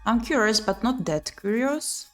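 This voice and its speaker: A woman's voice: fairly high pitch, expressive, a little slow. A woman doing let's play commentary, reading visual novel text aloud expressively with muttered reactions. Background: game music.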